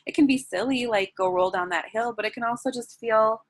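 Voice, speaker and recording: A woman interviewed as a guest, talking in a soft, flowing way with many "like"s and "um"s, then leading a guided breathing practice. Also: on the high side, a little fast. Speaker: guest, sensual wellness teacher.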